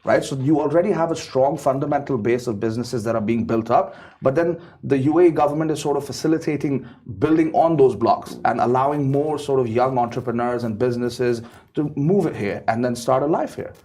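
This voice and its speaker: A man's voice: medium pitch, fairly quick, warm tone, slightly rough. A man speaking as a guest in a casual panel discussion, often repeating words and ending points with "right?". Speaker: business setup guest.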